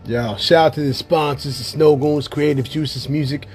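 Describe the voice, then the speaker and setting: A man's voice: on the high side, steady tone, moderate pace, darkish tone. A man hosting a casual hip-hop interview show over a video call, tired and losing his voice, talking in a laid-back, slangy way with plenty of fillers and cursing. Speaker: show host.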